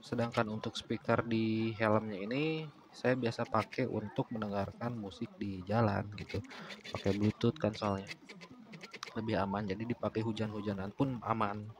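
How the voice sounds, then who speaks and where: A man's voice: lowish voice, steady tone, normal speed, noisy background. A man narrating a casual DIY tutorial in an explanatory way, often repeating phrases. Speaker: DIY vlogger.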